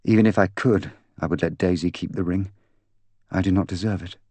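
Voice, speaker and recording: Man voicing a bitter, intense young woman, low-pitched. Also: fairly even intonation, brisk, a little mellow, no room sound. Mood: remorseful. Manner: candid, articulate, intense. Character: proud, bitter young woman confessing to killing her fiancé. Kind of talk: audiobook character dialogue.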